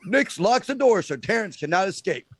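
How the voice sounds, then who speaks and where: A man with a gravelly, smoker-like voice narrating a script as co-host. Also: high-pitched, sing-song, moderate pace, no echo, faint music behind.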